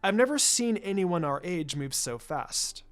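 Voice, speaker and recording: A woman's voice: on the low side, expressive, unhurried. A woman voicing a polite, earnest young ninja girl with a formal, careful manner in a story.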